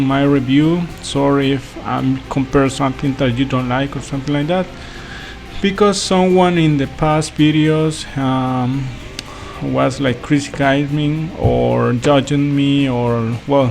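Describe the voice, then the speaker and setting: A man's voice: medium pitch, fairly even intonation, measured pace, gravelly, a little mellow, faint music behind. A man from El Salvador, speaking English as a second language, giving a casual travel review with many fillers, restarts and apologies. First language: Spanish. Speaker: travel vlogger.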